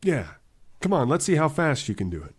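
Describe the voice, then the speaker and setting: A man's voice: fairly high pitch, big pitch swings, a little fast, husky. A man playing a genial, affectionate suitor and family man in an old-time radio drama, speaking casually.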